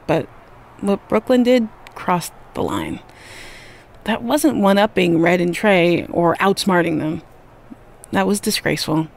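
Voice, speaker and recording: A woman's voice: mid-range voice, vocal fry. A woman acting as a reflective, sympathetic co-host on a wrestling preview show in a video game, giving broadcast commentary.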